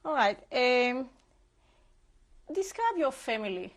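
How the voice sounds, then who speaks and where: A woman's voice: sits high, sing-song, takes it slowly. A woman interviewer asking brief, polite questions in an oral history interview.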